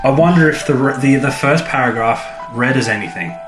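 A man's voice: medium pitch, animated, brisk. A man co-hosting a podcast, bantering casually and playfully about a TV show and arguing his points. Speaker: podcast co-host. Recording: roomy echo, music underneath.

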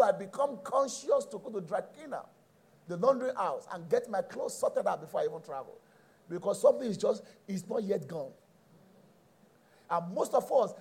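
A middle-aged Nigerian man with a raspy voice, teaching a sermon emphatically, repeating key phrases and checking in with his listeners. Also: high-pitched, darkish tone. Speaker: preacher.

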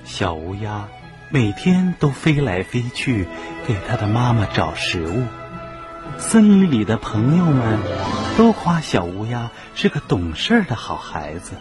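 Expressive male storyteller over music. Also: medium pitch, a little slow. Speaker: narrator. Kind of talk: scripted children's story narration.

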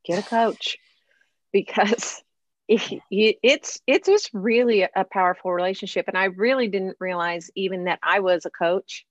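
A woman who is a classically trained singer, hosting an interview in animated, chatty conversation with frequent laughs. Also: medium pitch, a little slow, no echo, lo-fi sound. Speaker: podcast host, professional classical singer. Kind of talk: casual interview chat.

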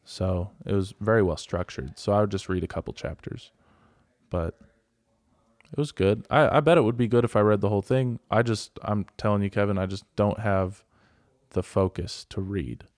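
Low-voiced American man, dry close sound. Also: normal speed, a little mellow, no echo. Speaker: podcast co-host. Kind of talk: casual banter.

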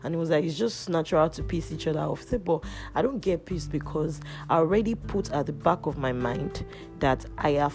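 A woman hosting a podcast, telling a personal story in a casual, loud, animated way with many restarts. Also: sits low. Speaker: podcast host. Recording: music playing underneath. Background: guitar music.

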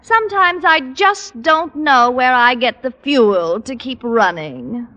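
A woman's voice: sits high, expressive, takes it slowly, bright, forceful tone, dull audio, heavily acted. An actress playing a coquettish woman teacher, a rival whose gushing, affected sweetness carries barbs, in an old radio sitcom. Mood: sugary, weary. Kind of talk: 1940s-50s radio sitcom acting.

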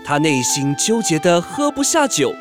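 A man with a Taiwanese Mandarin accent hosting and narrating a radio drama in a theatrical, expressive storytelling manner. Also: a little high, normal speed, music in the background.

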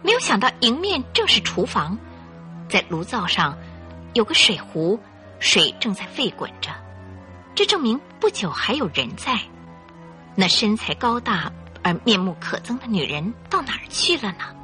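A woman narrating a radio drama in an expressive, suspenseful storytelling style. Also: on the high side, normal speed, faint music behind.

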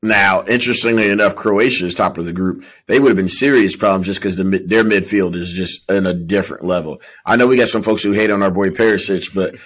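A man with a deep voice and a husky grain chats about soccer as a podcast co-host. His delivery is casual and conversational. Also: fast talker, few pauses, fairly bright tone, rough recording.

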